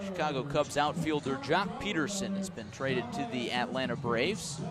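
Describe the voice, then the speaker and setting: An American man calling a baseball game live on the radio, describing play in measured, short clipped phrases. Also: a little high. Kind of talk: live radio sports commentary.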